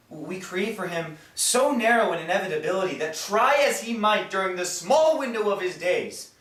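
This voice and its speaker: A man performing a stage monologue as an earnest, disillusioned writer who muses philosophically and deliberately. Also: fairly high pitch, fairly bright tone. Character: earnest, disillusioned male writer musing on life. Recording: echoey room, far from mic.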